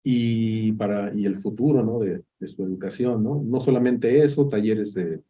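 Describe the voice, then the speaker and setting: A Mexican man with a dark, husky voice speaks hesitantly, repeating himself. The recording is somewhat muffled. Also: on the low side, moderate pace. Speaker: artist, speaker and interviewer.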